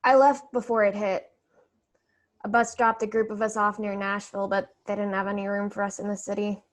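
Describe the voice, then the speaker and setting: A woman's voice: medium pitch, little pitch movement, a little fast, natural voice. A woman playing a wary, earnest young refugee in a staged play reading, hesitant and polite. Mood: hesitant, grateful. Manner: hesitant, polite Southern phrasing.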